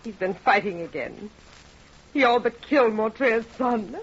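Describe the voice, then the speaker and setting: A woman's voice: a little high, measured pace, breathy edge. An actress playing a loving, anxious fisherman's wife, tender and pleading, in an old radio drama. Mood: worried. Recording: noisy muffled old radio recording.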